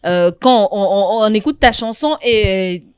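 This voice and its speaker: A woman's voice: medium pitch, expressive, unhurried, clean tone, no room sound. A woman hosting an interview, asking questions and giving short acknowledgements. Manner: brief backchannel replies. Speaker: interviewer host. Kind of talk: casual interview chat.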